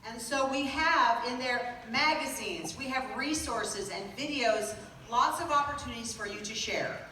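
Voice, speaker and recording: A woman speaks upbeat and persuasive from a convention stage into a handheld mic. Her tone is bright and a bit high. The recording comes from far back in the room and is full of hall echo with a faint hiss. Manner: upbeat, persuasive, with pauses for a live interpreter. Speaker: corporate presenter. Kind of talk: stage presentation to a sales audience. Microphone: handheld mic, recorded from far in the audience. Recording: echoey hall taped from far off.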